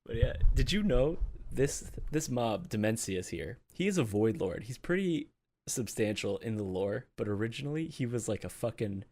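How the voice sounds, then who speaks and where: A man's voice: medium pitch, expressive, normal speed, darkish tone. A man co-hosting a gaming podcast, chatting casually and breaking into laughter often. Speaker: podcast co-host.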